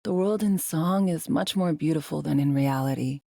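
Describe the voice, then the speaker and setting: Low-voiced, wry spy. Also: female voice, normal speed, creaky, dark tone, no room sound. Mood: wistful, candid. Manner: dry, sardonic, slangy and clipped. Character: world-weary, wry female undercover spy in a dystopian city. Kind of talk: video game voice acting, conversational dialogue.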